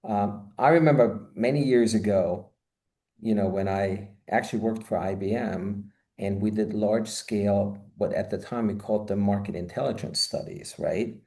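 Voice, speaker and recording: A man in his fifties from Austria talks from his home office over a webcam. His voice sits low and dark, and he wanders through long answers full of asides. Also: fairly even intonation, normal speed. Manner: expansive, rambling with many "you know" asides. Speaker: guest, marketing consultant. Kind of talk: conversational video-call interview. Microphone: video call, webcam.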